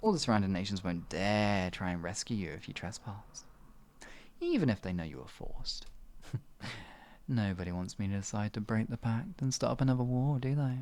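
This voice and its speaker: A man voicing a sadistic male wizard in an ASMR fantasy roleplay, cruel yet doting, teasing and cooing with soft whispers. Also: on the low side, expressive, normal speed, close to an everyday voice. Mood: taunting. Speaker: voice actor.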